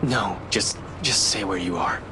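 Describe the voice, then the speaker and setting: A man's voice: on the low side, measured pace, noisy background, lightly acted. A man playing a guarded, weary and protective friend in a video game drama, with a serious, terse manner. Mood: withdrawn.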